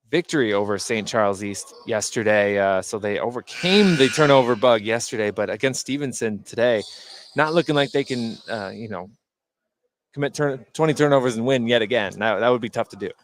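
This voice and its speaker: A man working as a radio color commentator, explaining basketball plays in a halting, conversational, unscripted way. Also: medium pitch. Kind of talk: unscripted radio sports analysis.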